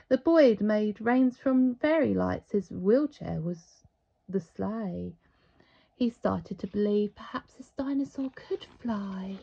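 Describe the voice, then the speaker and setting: Animated woman reading a children's story. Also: fairly high pitch, creaky, breathy edge, dull audio. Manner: theatrical, deliberate, storytelling. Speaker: storybook reader. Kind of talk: expressive children's read-aloud narration.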